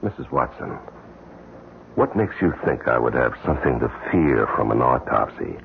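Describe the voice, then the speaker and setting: An actor playing a wary widowed gentleman in an old-time radio mystery, guarded and dryly incredulous in his speech. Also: a bass voice, sing-song, moderate pace, gravelly, full character voice. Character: wary, dry widowed gentleman employer suspected of murder. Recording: noisy, muffled old radio recording.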